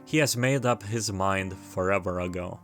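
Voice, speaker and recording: Male video essayist, lower register, casual storytelling delivery with dry asides, light music underneath. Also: moderate pace. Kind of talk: casual retrospective narration of a visual novel. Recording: music behind the voice. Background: background music.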